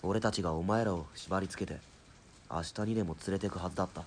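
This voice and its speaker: A man's voice: low-pitched, fast talker, a little hiss or hum. An actor playing a captured military policeman in an audio drama, defiant but cornered, blustering and grudging.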